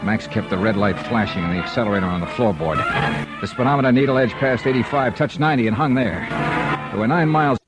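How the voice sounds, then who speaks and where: A man plays a world-weary private investigator in a vintage radio drama. He narrates in a medium-pitched voice, clipped and deadpan, with music underneath. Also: quick pace, natural voice. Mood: tense, narrating. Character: hard-boiled, world-weary male insurance investigator narrating his case. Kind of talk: 1950s radio detective narration. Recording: old radio recording with music behind.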